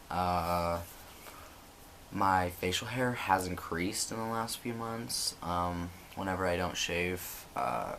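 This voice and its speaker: A young man talking casually to camera in a home vlog, relaxed and rambling with many ums and likes. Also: low-pitched, a little hiss or hum. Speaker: vlogger. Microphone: built-in computer camera mic.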